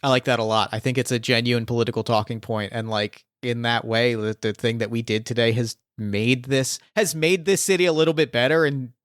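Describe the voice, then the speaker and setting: A man wraps up a tabletop session in loose, meandering talk studded with filler words. His voice is smooth and resonant and sits at an ordinary pitch. The recording is dry and close. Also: steady tone, a little fast, hardly any pauses, no echo. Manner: rambling, heavy use of "like" fillers. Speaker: game master and podcast host. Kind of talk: casual post-game chat.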